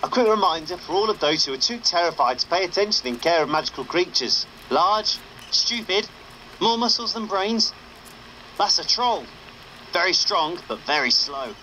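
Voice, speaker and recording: A wry young wizard on underground radio in a game. His voice is high and bright, punchy and sarcastic, over faint noise. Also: lively intonation, normal speed, poor audio. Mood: brisk warning.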